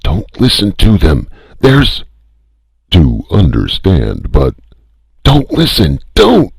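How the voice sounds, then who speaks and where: A man's voice: extremely low pitch, big pitch swings, a little slow, vocal fry, husky, no room sound, studio quality, pitched above the performer's own voice, heavily acted. A man doing a strident, frantic radio voice. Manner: strident, shouting commands. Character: frantic male voice shouting over radio.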